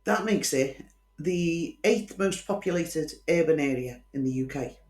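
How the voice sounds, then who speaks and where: A woman's voice: deep voice, animated, a little slow, darkish tone, reverberant. A woman from Liverpool with a Scouse accent hosts a chatty true-crime show, telling the story with opinionated, wry asides. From Liverpool, England. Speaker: host.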